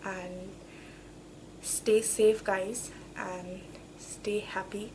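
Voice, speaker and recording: A woman's voice: mid-range voice, flat delivery, extremely slow, darkish tone, a little hiss or hum, rough recording. A young woman with a cold, chatting casually as a book vlogger, emphatic and repeating words. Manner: emphatic, repeats words and phrases, says okay often. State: has a cold. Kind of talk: casual vlog chat, book reviews.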